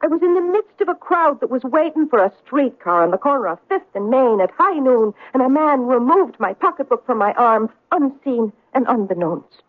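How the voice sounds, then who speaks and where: An actress playing a feisty, evasive woman in old-time radio drama style, with a lilting, indignant, drawn-out storytelling manner. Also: high-pitched, expressive, no room sound. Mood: brisk, indignant. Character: feisty, evasive Irish-American woman reporting a theft. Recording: dry vintage radio recording, muffled.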